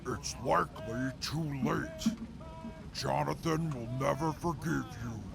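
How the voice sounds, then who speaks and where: A man acting in an audio drama as a pompous, smug, mocking sidekick with gravelly, formal, wordy speech. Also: medium pitch. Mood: smug, gloating. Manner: pompous, formal, drawn-out, verbose.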